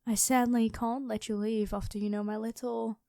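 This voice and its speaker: Dragon queen for ASMR roleplay female performer: high-pitched, imperious and teasing, very unhurried, close dry sound. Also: flat delivery, gravelly, dark tone, dry recording. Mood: smug, menacing. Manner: teasing, commanding, drawn-out delivery. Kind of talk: ASMR roleplay addressed to the listener.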